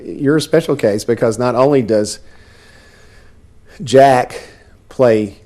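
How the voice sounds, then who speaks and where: A man's voice: medium pitch, takes it slowly, darkish tone. A man, a theater director, chatting in an interview, laughing often and poking fun at himself. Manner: chatty, laughing, self-deprecating. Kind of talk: casual interview chat.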